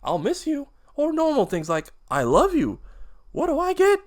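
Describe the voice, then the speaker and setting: A man's voice: very high-pitched, huge pitch swings, moderate pace, heavily acted. A man playing an aggrieved, hot-tempered grown son who is exasperated and emotional in a cartoon-style drama. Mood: angry, hurt.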